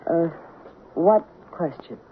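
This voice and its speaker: An actress playing a tense, domineering, scheming wife in an old-time radio drama, sharp and commanding, on a tinny, noisy recording. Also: female voice, on the low side, lively intonation, takes it slowly. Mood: cornered.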